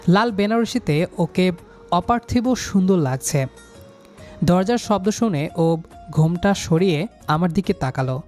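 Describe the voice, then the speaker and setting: A man's voice: high voice, darkish tone. A young man narrating a scripted first-person love story in a dramatic, sentimental manner.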